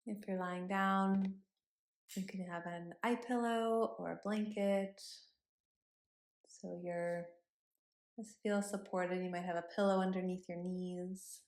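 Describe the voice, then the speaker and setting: A woman yoga instructor leading a body scan meditation in a gentle, instructive manner with pauses between cues. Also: medium pitch, steady tone. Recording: roomy, echoing sound.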